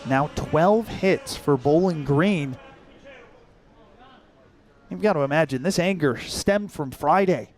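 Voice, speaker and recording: A man's voice: a little high, expressive, slow, long pauses, a little mellow, soft background music. A young American man calling baseball live as a radio play-by-play announcer, in clipped, descriptive phrases. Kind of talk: live sports commentary.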